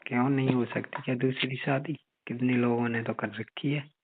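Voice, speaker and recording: A man's voice: medium pitch, steady tone, normal speed, slightly rough. Man acting an easygoing brother-in-law on a muffled phone call. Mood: reassuring. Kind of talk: casual phone chat.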